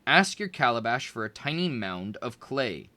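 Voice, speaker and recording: A man reading aloud, voicing a kind woman teacher who encourages her young students, raising his pitch for her lines. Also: very animated, normal speed, sharp tone. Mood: encouraging.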